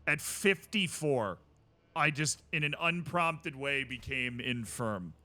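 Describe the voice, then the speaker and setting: A man's voice: mid-range voice, lively intonation, slow pace, bright, forceful tone. A man streaming a strategy game, chatting casually with wry asides to himself.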